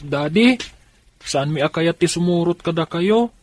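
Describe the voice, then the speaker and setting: Casting: male actor as an anxious young kid in a radio drama, high voice, light noise behind. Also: expressive, measured pace, a little mellow. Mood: worried, pleading. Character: worried young child of quarrelling parents. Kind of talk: radio drama acting in dialogue. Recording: faint background noise and ambience.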